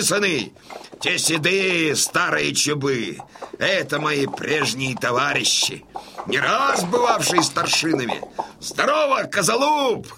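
Old cossack dad, proud n boisterous, gravelly, slow delivery that swoops up and down, radio play. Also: male voice, sits high, sharp tone, exaggerated voice. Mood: hearty. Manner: declamatory, swinging from brooding murmur to hearty bellow. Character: proud boisterous old Cossack father, hearty war veteran. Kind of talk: theatrical audio drama acting.

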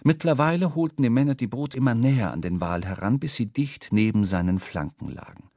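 A male narrator with a medium-pitched voice tells a literary story for radio drama. His delivery is scripted yet expressive. The sound is very dry, with no room around it. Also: brisk, no room sound.

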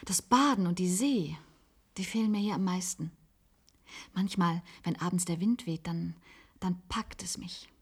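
Husky, wistful resigned woman. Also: fairly low pitch, a little slow. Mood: wistful longing. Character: wistful former secretary, resigned patient living in an isolated institute. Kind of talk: German science-fiction radio play acting.

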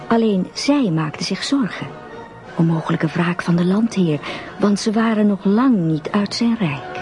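A woman's voice: mid-range voice, expressive, a little slow. A woman narrating a fairy-tale radio drama as a scripted storyteller. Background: music.

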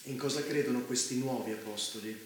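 An actor playing a cynical, menacing warmongering leader in a stage drama, provocative and philosophizing in manner. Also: male voice, mid-range voice, quick pace, lightly acted. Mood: musing, provocative. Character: cynical, menacing male leader who unleashed a war. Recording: very echoey room, faint noise.

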